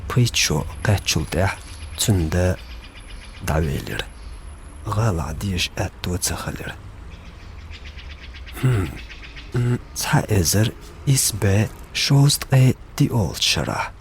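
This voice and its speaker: Deep slow chechen guy doing film narration, bit of background noise. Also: lively intonation.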